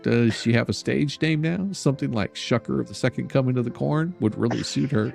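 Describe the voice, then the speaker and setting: A man's voice: mid-range voice, brisk, gravelly, a little mellow, faint music behind. An older man hosting a stream, reading a letter aloud in a sarcastic, mocking and theatrical way. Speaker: streamer and host.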